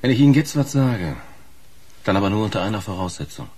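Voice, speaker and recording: Gruff trucker in a crime radio play, husky, really swingy pitch, blunt slang. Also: male voice, big pitch swings, fast talker, darkish tone. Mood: guarded. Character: gruff male truck driver, slangy, wary informant. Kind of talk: naturalistic German radio crime drama.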